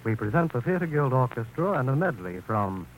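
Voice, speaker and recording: Animated old-time radio announcer, male. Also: mid-range voice, moderate pace, phone-quality sound. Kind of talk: 1940s broadcast announcing, reading cast credits. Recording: noisy old 1940s radio recording.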